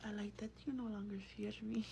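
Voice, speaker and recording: A woman playing an affectionate vampire in a soft-spoken ASMR roleplay, with a breathy whisper and a tender, unhurried, intimate manner. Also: medium pitch, flat delivery, slight background noise, lightly acted. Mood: tender, soothing. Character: affectionate female vampire soothing her human darling.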